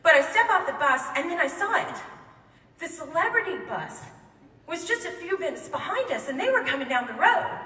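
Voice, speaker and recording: Bright wry woman telling a stage story. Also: extremely high pitch, sing-song, moderate pace. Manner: wry, comic, laughing between lines. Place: theater stage before an audience. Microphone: stage microphone. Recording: echoey hall, mic from far off.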